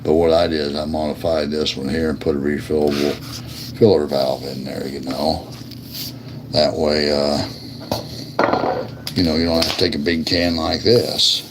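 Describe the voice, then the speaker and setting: A man with a deep voice chatting casually and in a folksy, colloquial way as he hosts a hands-on demonstration of lighter repair. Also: little pitch movement, moderate pace, long pauses, background noise. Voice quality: gravelly. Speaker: host of a lighter repair livestream.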